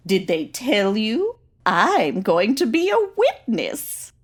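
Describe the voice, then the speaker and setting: A woman's voice: on the high side, huge pitch swings, takes it slowly, heavily acted. A woman playing a cheerful, gullible grandmother in her seventies, sweet and obliging, in a comedy audio drama. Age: 70s as played.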